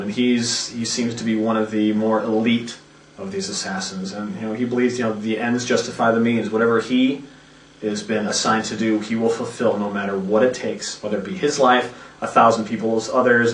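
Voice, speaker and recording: A man's voice: on the low side, fairly even intonation, brisk. A man who works as a voice actor, chatting casually and loosely behind the scenes. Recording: roomy, distant mic, faint noise.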